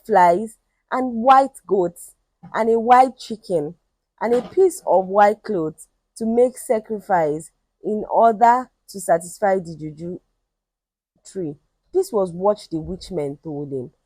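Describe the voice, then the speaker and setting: A woman telling a folktale in an expressive, emphatic and dramatic storytelling style. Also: medium pitch, takes it slowly, no echo, rough recording.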